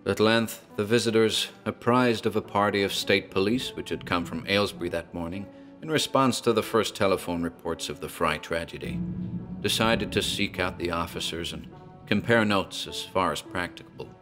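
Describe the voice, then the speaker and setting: A man's voice: low-pitched, moderate pace, a bit bright, faint music behind. A man narrating a horror tale in a theatrical, storytelling manner, as a tavern landlord.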